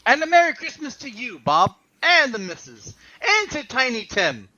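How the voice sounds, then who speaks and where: A man's voice: very high-pitched, sing-song, moderate pace, no room sound, full character voice. A man playing a cheerful, good-hearted nephew in a theatrical radio-style play reading, on a slightly dull microphone. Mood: hearty, good-natured. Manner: jovial, warm-hearted, theatrical.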